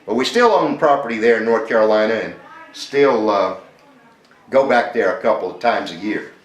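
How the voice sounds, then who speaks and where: A male ham radio operator in a radio contact chat, relaxed, spelling out words in the phonetic alphabet. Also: on the low side, moderate pace, roomy sound, slight background noise, rough recording. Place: home radio station in Mexico.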